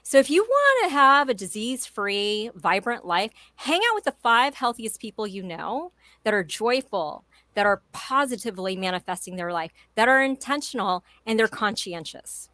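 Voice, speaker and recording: A woman in her forties with a bright, forceful voice. Her pitch swings widely as she talks with emphatic, motivational enthusiasm. The sound is close and dry. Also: big pitch swings, normal speed, hardly any pauses, dry recording. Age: 40s. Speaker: guest. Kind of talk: conversational interview.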